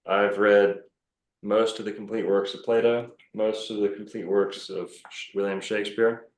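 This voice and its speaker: Man, very low voice, rambling, self-assured, casual podcast guest, roomy echo. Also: flat delivery, normal speed. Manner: rambling, self-assured, many fillers and restarts. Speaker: podcast guest, bookstore owner.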